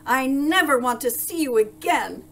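A woman voicing an angry, commanding male Duke in a children's storybook read-aloud, in a loud roaring manner. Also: a little high, expressive, fast, soft background music, voice pushed up, close to an everyday voice. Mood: furious, roaring.

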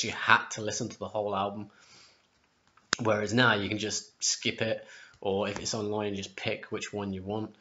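A man with a sore, hoarse throat talks casually to a webcam in his kitchen, wandering off track and correcting himself as he goes. His voice sits a little low. The sound is muffled and lo-fi. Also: sharp tone, a little hiss or hum. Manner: rambling, chatty, corrects himself. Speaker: music vlogger. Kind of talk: casual album ranking vlog. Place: home kitchen, indoors. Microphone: webcam at close range. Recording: dull lo-fi webcam audio.

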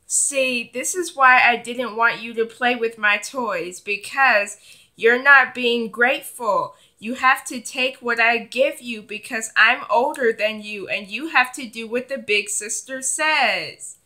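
A woman's voice: a little high, slow, bright, forceful tone. A woman voicing a bossy, selfish older sister, a young girl, in a children's doll play skit. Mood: bossy, condescending. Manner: bossy, dismissive.

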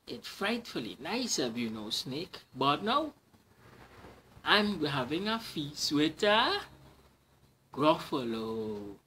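Man as a clever little storybook mouse, animated. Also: a little high, slow, pauses often, a little hiss or hum, heavily acted. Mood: polite bluffing. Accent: Trinidadian. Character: small, clever, polite, bluffing mouse.

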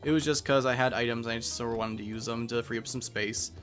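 A man's voice: medium pitch, fairly even intonation, quick pace, fairly bright tone, faint music behind. A man giving casual commentary as he plays through a game. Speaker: let's player.

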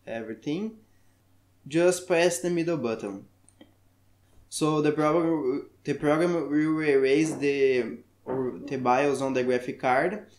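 A man from Brazil with a Brazilian Portuguese accent, a native Portuguese speaker, talking through a software tutorial in halting English and searching for words. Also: on the high side, echoey room. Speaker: tech tutorial maker. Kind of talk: screen-recorded software tutorial.

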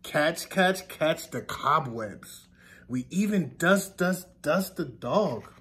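A man in his thirties reads a picture book to children as their teacher. His voice sits high for a man, and he takes his time. The delivery is deliberate and sing-song, leaning on each repeated word. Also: lively intonation, fairly bright tone. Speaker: teacher reading aloud to children. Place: classroom, indoors.

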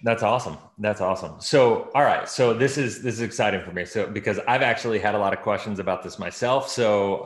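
A low-pitched American man hosts a video-call interview in a roomy, echoey space. His style is casual and conversational, and he rambles informally as he works toward his point. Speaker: video host interviewing a guest. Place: small indoor home office with bookshelves, on a video call.

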